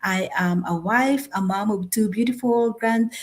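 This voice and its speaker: A woman's voice: mid-range voice, a little slow. An older woman introduces herself as a guest on the panel. She greets the audience cheerfully and formally. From Philippines. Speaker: panel guest, retired government employee.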